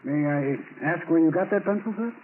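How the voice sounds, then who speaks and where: A man's voice: fairly high pitch, fairly quick, natural voice. Tinny old-radio actor as glib, folksy salesman. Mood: nervously polite. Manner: folksy, over-polite, nervous chuckles. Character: affable evasive salesman hiding a criminal past. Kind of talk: 1940s radio acting. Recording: noisy tinny 1940s radio recording.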